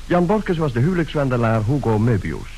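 A man's voice: mid-range voice, very animated, brisk, mellow, poor audio. A man formally announcing the cast credits of a radio play. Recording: hissy old radio recording.

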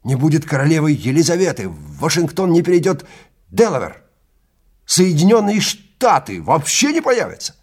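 A man's voice: a little high, huge pitch swings, moderate pace, darkish tone, slightly rough, pitched above the performer's own voice, full character voice. A man playing a stern, contemptuous male time-travel guide in an audio drama, lecturing and commanding with great emphasis. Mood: heated lecturing. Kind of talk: Russian audio drama acting.

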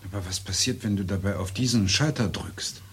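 An actor in a German radio play, playing a wary visitor who questions a military operator. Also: male voice, fairly low pitch, fairly quick, fairly bright tone, slightly rough. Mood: uneasy.

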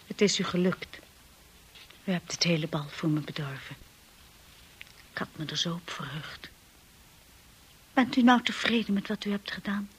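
An actress playing an anxious, timid young wife in a radio drama, earnest and breathy. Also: female voice, lowish voice, moderate pace, pauses often, poor audio. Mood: hurt, indignant. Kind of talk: radio drama acting with narration. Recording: hissy old radio play recording.

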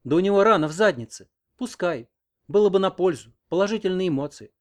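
Man voicing a coquettish, self-assured young village woman, lifted in pitch. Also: lively intonation, fast talker. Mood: teasing. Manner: coquettish, teasing. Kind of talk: audiobook character reading.

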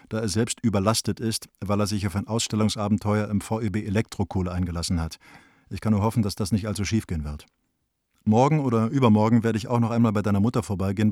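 A man's voice: lowish voice, a little mellow, no echo, clean studio sound, lightly acted. An actor playing an opinionated, affectionate young bohemian intellectual, wry and emphatic as he reads out his letter in a radio play. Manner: wry, emphatic, self-important.

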